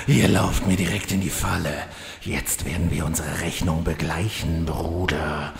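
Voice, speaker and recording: A man playing a vain, gloating villain demon hunter with a deep gravelly voice in a German fantasy parody audio drama. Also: slow pace, heavily acted. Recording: echoey reverberant hall.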